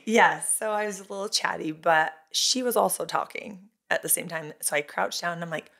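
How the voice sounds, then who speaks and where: A middle-aged woman co-hosting a podcast with an American accent, a loud and animated storyteller in casual chat. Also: fairly low pitch, moderate pace, creaky. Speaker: podcast co-host.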